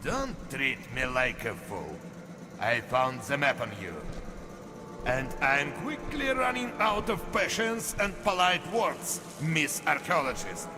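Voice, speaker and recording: An actor playing a cold, commanding Soviet colonel with a Russian accent and a mockingly polite, menacing manner in a video game. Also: male voice, a little high, animated, takes it slowly. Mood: threatening. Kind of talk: video game cutscene acting. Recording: long hall reverb, music behind.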